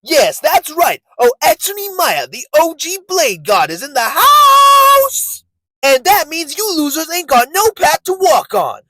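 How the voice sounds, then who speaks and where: Brash male anime swordsmith, showy, boastful, slangy, high swooping delivery, dry sound. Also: wildly swooping pitch, takes it slowly, sharp tone, no room sound, exaggerated voice, low-quality recording. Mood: boastful, hyped. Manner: brash, slangy, showman swagger. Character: flamboyant, boastful hip-hop swordsmith, brash male warrior. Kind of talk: anime dub acting.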